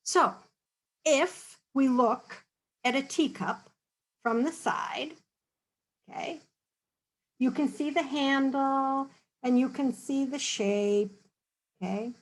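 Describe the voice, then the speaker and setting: A woman's voice: high-pitched, takes it slowly, pauses often, rough recording. A woman reading a picture book aloud to children, then patiently explaining drawing tips and checking in with them often. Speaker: storybook reader and teacher.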